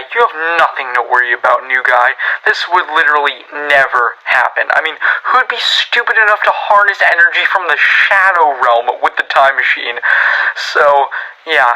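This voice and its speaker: A man's voice: on the high side, pushed and bright, full character voice, low-quality recording. A man playing a casual scientist who trains a new worker, explaining things in a conversational lecture with hesitant asides. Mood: breezy, reassuring. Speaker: workplace trainer.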